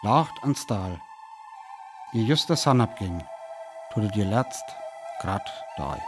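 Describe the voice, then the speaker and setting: A man's voice: on the low side, expressive, takes it slowly. An actor with a North Frisian accent playing a reflective male survivor narrating his account of a Martian war in a solemn radio play style. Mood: wistful. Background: soft music.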